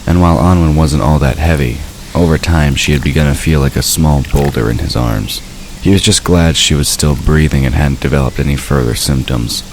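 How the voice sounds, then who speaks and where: A man with an extremely deep, low voice narrates a fantasy story. He reads scripted prose as the narrator of an audio drama, with faint ambient noise behind him. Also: a little fast, poor audio.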